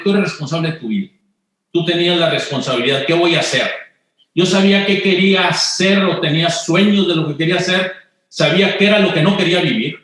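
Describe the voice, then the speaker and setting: A man's voice: fairly high pitch, sharp tone. A middle-aged man with a Monterrey accent, a guest telling chatty anecdotes about his life in an interview. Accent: northern Mexican (Monterrey). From Monterrey, Mexico. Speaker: guest, business executive. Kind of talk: conversational interview. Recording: very echoey room.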